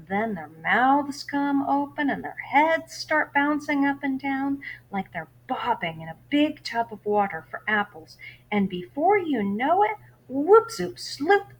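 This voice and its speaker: A woman's voice: mid-range voice, a little hiss or hum, poor audio. A woman teacher reading a novel aloud to students, giving instructions in between and telling the story expressively. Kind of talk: read-aloud lesson for students.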